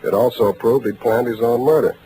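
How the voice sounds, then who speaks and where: An actor playing a tough, wry, world-weary private detective in a 1940s radio drama, speaking tersely on a noisy vintage recording. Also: male voice, mid-range voice, fairly even intonation, brisk, dark tone, lightly acted. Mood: grim explaining. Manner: hard-boiled, terse, wry delivery.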